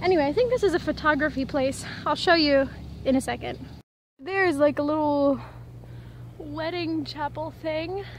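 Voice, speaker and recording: A woman's voice: high-pitched, normal speed. A woman in her twenties chatting casually to camera in a rambling, informal way, recorded on a handheld phone outdoors. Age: 20s. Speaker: vlogger. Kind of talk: casual vlog chat to camera. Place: outdoors on a rural roadside. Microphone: handheld phone at arm's length. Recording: noisy outdoor audio with ambience and traffic.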